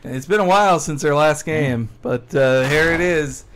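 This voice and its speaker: A man with a somewhat high voice co-hosts a casual let's-play stream. He jokes with his friends and reads out viewer comments as they come in. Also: moderate pace, fairly bright tone. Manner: jokey, reads out chat comments. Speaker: co-host of a gameplay stream.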